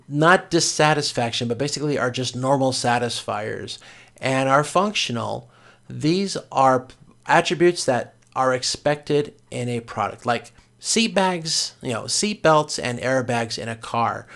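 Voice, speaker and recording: Creaky-voiced man giving an explanatory lecture. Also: medium pitch, a bit bright. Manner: explanatory, step by step with everyday examples. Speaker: course instructor. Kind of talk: informal recorded lecture explaining slides.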